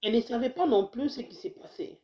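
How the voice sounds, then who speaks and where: A woman's voice: a little high, expressive, brisk. A Congolese woman who has a cold, talking casually to her viewers with many restarts and urging them to share her video. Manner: warm exhortations, frequent restarts, mixes in Lingala phrases. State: slightly hoarse with a cold. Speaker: health video host. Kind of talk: casual talk to camera. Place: lives in the United States.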